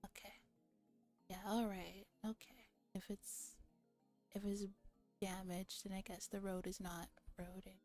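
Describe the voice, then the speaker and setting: A woman streamer chatting casually in a relaxed ASMR livestream, with playful, giggly banter between bits of reading a visual novel aloud. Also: mid-range voice, measured pace, soft background music. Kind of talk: casual livestream chat mixed with game read-aloud, ASMR.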